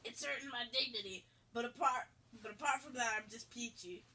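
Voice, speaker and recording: Woman doing a grumpy, sarcastic guy, bright-toned. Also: a little high, a little fast, a little hiss or hum, low-quality recording. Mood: grumbling, sarcastic. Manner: grumbling, sarcastic. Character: grumpy, put-upon, sarcastic male pizzeria worker.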